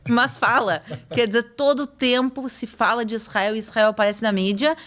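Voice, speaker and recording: Woman, fairly high voice, walks the listener through facts in a relaxed podcast chat. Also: moderate pace. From Brazil. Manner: explanatory, uses "tu" and "pessoal". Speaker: podcast guest, tour guide.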